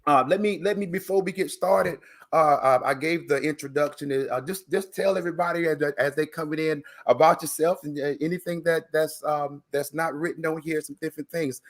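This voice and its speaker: A man's voice: a little high, brisk. A man hosting an interview in a casual, encouraging way, speaking earnestly and repeating words for emphasis. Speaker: host and interviewer.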